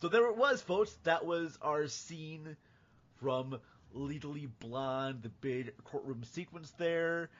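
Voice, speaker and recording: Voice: mid-range voice, lively intonation, slow pace. A podcast host talking in a casual, chatty style. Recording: dull lo-fi audio.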